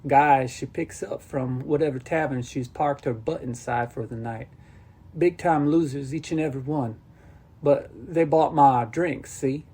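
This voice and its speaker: A blunt storybook boy, as a male narrator plays him, folksy and sardonic. He is caring underneath the exasperation, and his voice has a slightly husky grain. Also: mid-range voice, a little slow, dark tone. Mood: bitter. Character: boy Todd, blunt, exasperated but caring neighbour kid. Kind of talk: audiobook character dialogue.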